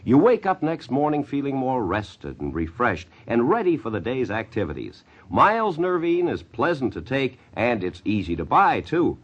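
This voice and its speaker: A man with an American accent reading a 1950s radio commercial as announcer. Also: mid-range voice. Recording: muffled 1950s radio recording.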